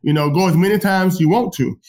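A man's voice: high-pitched, brisk, a little mellow. A man hosting an interview and giving motivational advice in a lecturing way. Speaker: host. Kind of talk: encouraging interview talk.

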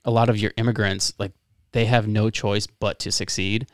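A man's voice: fairly low pitch, flat delivery, normal speed, dry recording, studio quality. A man from Florida hosting a podcast, chatting informally and often saying "like". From West Palm Beach, Florida, United States. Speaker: podcast host. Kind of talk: casual conversational interview.

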